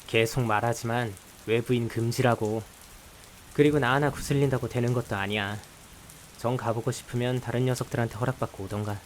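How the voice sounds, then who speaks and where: A man playing an exasperated male high school student in a visual novel, blunt, dry and sarcastic, with a sighing delivery. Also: a little fast, natural voice. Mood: grumbling, annoyed. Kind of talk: visual novel voice acting with some first-person narration. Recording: hiss with steady rain behind.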